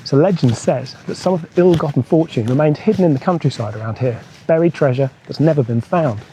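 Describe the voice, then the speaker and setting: Guy hosting a motorbike travel vlog, mellow voice, telling old local legends, bit of background noise. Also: a little high, brisk, poor audio. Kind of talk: storytelling narration of local history and legends.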